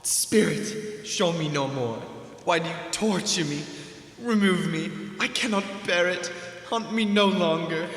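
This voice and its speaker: A man's voice: sits high, unhurried, fairly bright tone. A man acting in a radio drama as Scrooge, a miser tormented by his past, with anguished, pleading delivery. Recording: echoey hall reverb, music behind.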